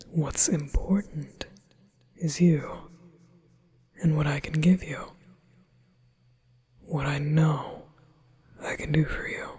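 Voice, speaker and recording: A man's voice: fairly high pitch, mellow, husky, heavily acted. A man whispering as a possessive yandere demon. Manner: slow soothing whisper. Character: possessive manipulative male demon offering a contract. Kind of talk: ASMR hypnosis roleplay.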